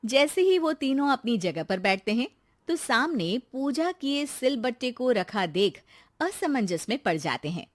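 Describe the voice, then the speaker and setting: A woman tells a cartoon moral story. Her voice sits a bit high and rises and falls vividly, and she keeps the telling steady and clear for young listeners. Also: a little slow, dry recording, studio quality. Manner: lively storytelling. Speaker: narrator. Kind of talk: scripted storybook narration.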